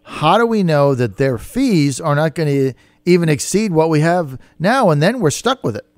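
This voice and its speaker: A man's voice: on the high side, sing-song, normal speed, no echo. An American man hosting a radio interview, putting challenging questions in plain-spoken words. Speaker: radio talk show host. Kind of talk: probing live radio interview.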